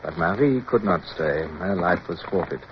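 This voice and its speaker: An actor playing a resolute naval officer in a radio drama, commanding yet tender, crisp and formal as he tells of his war. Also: low-pitched, animated, moderate pace. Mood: sombre, tender. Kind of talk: radio drama acting and first-person narration. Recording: muffled, noisy old radio recording.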